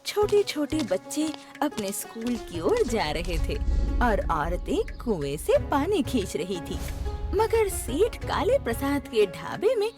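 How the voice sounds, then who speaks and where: A woman's voice: on the high side, wildly swooping pitch, slow pace, music in the background. A woman narrating a scripted cartoon story.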